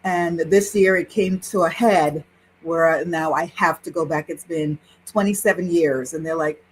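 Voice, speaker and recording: Lower-voiced woman in her sixties telling stories. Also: unhurried, slight background noise, low-quality recording. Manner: animated, laughs while telling a story. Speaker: guest, Yoruba priestess. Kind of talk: personal storytelling in conversation.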